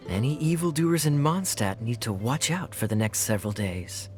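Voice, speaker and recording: A suave young knight captain, voiced by a man, speaks with playful, knowing mockery. His voice moves lively up and down as he needles his companions. Faint music sits beneath the game dialogue. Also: mid-range voice, moderate pace, close to an everyday voice. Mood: teasing. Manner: teasing, wry and playful. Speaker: game character.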